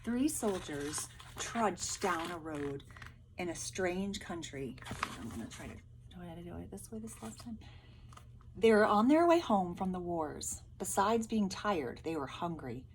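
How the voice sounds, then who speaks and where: A woman's voice: on the low side, very animated, unhurried, background noise. A woman teacher speaking casually to pupils, explaining and reading a storybook aloud. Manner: explanatory, addressing pupils directly.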